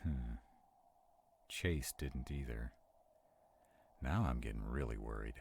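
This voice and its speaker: A man's voice: very deep voice, slow pace, vocal fry, darkish tone, a little hiss or hum, full character voice. A man playing a sarcastic, protective vampire in a conversational audio roleplay. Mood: worried. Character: sarcastic male vampire, protective of a young demon companion.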